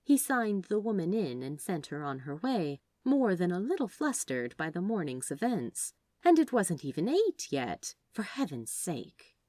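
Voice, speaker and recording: A woman reading a story aloud as narrator, in an expressive scripted style. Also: fairly low pitch, a little slow, hardly any pauses, no room sound, studio quality. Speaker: fan fiction narrator.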